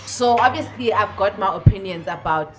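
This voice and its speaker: A woman's voice: mid-range voice, expressive, moderate pace. A woman with a South African accent, a guest on a podcast, talking bluntly and laughing often. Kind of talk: casual chat. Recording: clear background noise.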